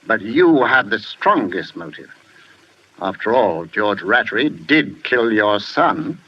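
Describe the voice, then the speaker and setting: An actor playing a shrewd, methodical police inspector, measured and formal, in a 1940s radio mystery. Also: male voice, fairly low pitch, sing-song, exaggerated voice. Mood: accusing. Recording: muffled vintage radio recording.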